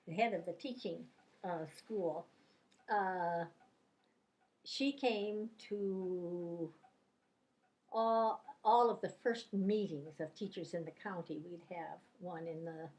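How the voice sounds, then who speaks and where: A woman's voice: fairly low pitch, takes it slowly, long pauses, darkish tone. An older woman, a retired teacher, reminiscing about her life in a casual interview, telling rambling stories full of fillers and restarts. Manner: rambling anecdotal storyteller, many fillers and restarts.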